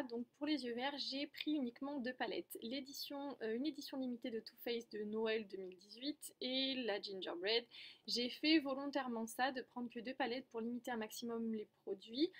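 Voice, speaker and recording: Girl doing a makeup tutorial, kinda high voice, chatty, explains stuff and keeps rewording herself. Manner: chatty, explanatory, often self-correcting. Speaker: beauty vlogger.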